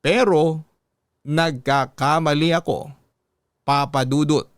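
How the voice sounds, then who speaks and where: A man with a Filipino accent reading a listener's story as dramatic, measured radio narration. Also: fairly high pitch, steady tone, no room sound, studio quality.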